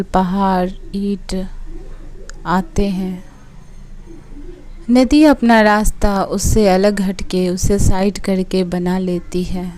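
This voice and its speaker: A woman leading a guided meditation, giving soothing, unhurried instructions in short phrases with pauses between them. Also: medium pitch, warm tone, low-quality recording.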